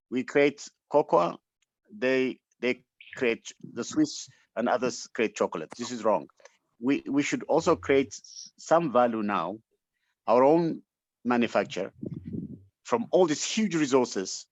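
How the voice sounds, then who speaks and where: A man's voice: medium pitch, no room sound. A man with an Ethiopian accent giving an impassioned, unscripted motivational address as a webinar speaker, emphatic and exhortative, often repeating himself for weight. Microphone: online call microphone. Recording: dull, lo-fi webinar audio.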